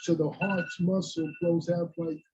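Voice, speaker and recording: A man's voice: a little high, level tone, a little slow, noisy background. A man with an accent casually explaining a medical story in a rambling way. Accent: non-native English. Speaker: study partner. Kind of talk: casual chat. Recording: dull lo-fi sound.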